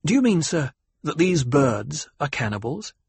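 A man's voice: on the high side, big pitch swings, a little slow, vocal fry, darkish tone, slightly rough, dry recording, pitched above the performer's own voice, exaggerated voice. A man voicing a precocious, coolly challenging young nobleman in an audiobook, with a brittle, pointed delivery. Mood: probing.

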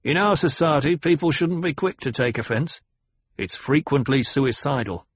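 A blunt warrior, as a man puts him on, dry and pointedly ironic. His voice is raised above the narration and has a husky grain. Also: medium pitch, lively intonation, moderate pace, no echo, voice pushed up.

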